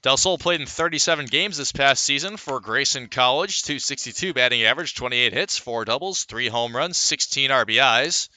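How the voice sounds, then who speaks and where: A man's voice: medium pitch, normal speed, few pauses, pushed and bright, dry recording. A man calling live baseball play-by-play in a radio commentary style, filling gaps with player statistics.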